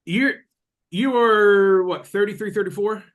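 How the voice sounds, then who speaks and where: A man in his late thirties hosts a casual podcast. His voice sits a bit high and sounds bright, with an edge to it. He rambles in a chatty way, full of ums and restarts. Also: unhurried. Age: 30s. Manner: rambling, chatty, lots of fillers. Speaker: podcast host. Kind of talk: casual chat.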